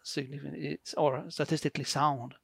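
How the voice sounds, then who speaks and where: A man's voice: on the high side, steady tone, brisk, vocal fry, a bit bright, breathy edge, no room sound, clean studio sound. A man from Sweden with a Swedish accent, a guest explaining his work in a hesitant, filler-heavy way. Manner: hesitant, many fillers and restarts. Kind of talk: conversational explanation.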